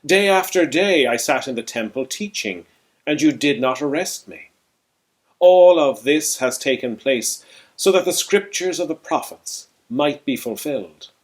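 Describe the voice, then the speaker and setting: A man reading the part of a calm, authoritative male teacher in a scripture reading, solemn and deliberate. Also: on the high side. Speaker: clergy reader.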